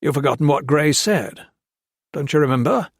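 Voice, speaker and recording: A man's voice: on the high side, animated, normal speed, breathy edge, no room sound, pitched above the performer's own voice. Guy doing a bossy crooked colonel, barking threats, dry close voice. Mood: scheming. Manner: commanding, blustering, threatening. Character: bullying male army colonel, menacing and crooked.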